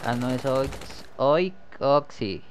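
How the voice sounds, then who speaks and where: A young man with a Mexican accent doing excitable live commentary over a shooter game, cursing whenever he gets killed. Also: mid-range voice, slow pace, slight background noise. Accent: Mexican Spanish. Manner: excitable, swears when killed. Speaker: gameplay commentator.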